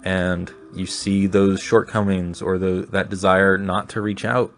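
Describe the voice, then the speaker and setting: Low monotone guy doing a solo podcast, thinks out loud, faint music behind. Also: a little slow. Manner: reflective, rambling with restarts. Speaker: podcast host.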